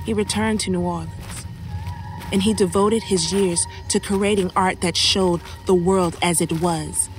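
A woman's voice: fairly low pitch, steady tone, a little slow, lightly acted. An actress as a solemn woman in a horror tale. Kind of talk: audio horror drama acting. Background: loud dramatic music.

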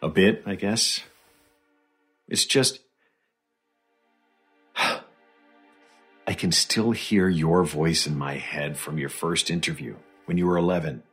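A weary male doctor gently interviews a survivor in an audio drama. His voice is deep and husky, and he speaks slowly and gravely, with hesitation. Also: soft background music, exaggerated voice. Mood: troubled, regretful.

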